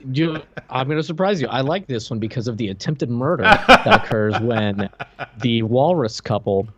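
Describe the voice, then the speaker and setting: A man's voice: medium pitch, animated, normal speed, highs cut off, crowd noise. A man in his thirties co-hosting a casual review chat in a joking, irreverent way. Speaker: podcast co-host. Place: dim room at home, video call.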